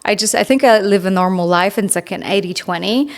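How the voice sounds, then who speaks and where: A woman's voice: medium pitch, fast talker. A woman in her thirties talking casually as an interview guest, informal and chatty, often saying "like". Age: 30s. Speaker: podcast guest, biohacker and author.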